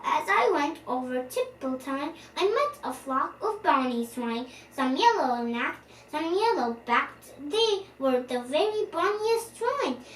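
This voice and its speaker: A young girl reading a children's storybook aloud in an animated, expressive way, now and then stumbling over words. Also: high voice, takes it slowly. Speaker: storyteller, channel host. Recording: roomy, distant microphone, faint background noise.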